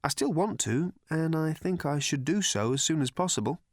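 A man's voice: fairly high pitch, animated, normal speed, no room sound, studio quality, voice pushed up. A man voicing a glum, put-upon man who speaks in a weary, stiff, resigned way.

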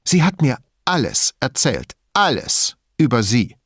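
An agitated woman in an audiobook , acted out by a male reader, pitched high and swinging widely in tone. She is theatrical and defensive, with spite and indignation pressed into emphatic words. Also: very animated, measured pace, fairly bright tone, slightly rough, no echo, studio quality, exaggerated voice. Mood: indignant. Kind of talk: audiobook character dialogue.

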